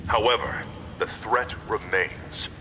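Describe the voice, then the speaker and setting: An actor playing a stern, power-hungry mayor giving a self-righteous televised speech in a video game. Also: male voice, lowish voice, takes it slowly, pushed and bright, slight background noise. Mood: righteous, commanding.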